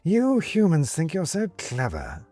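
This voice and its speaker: A man voicing a sly, predatory house cat that taunts its prey in a slow, condescending drawl. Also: a little high, huge pitch swings, mellow, soft background music, pitched above the performer's own voice, exaggerated voice.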